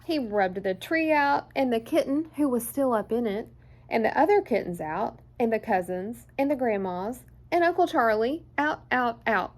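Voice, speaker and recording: A woman reading a children's picture book aloud in an expressive, deliberate storyteller's way. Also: a little high. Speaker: children's story time host.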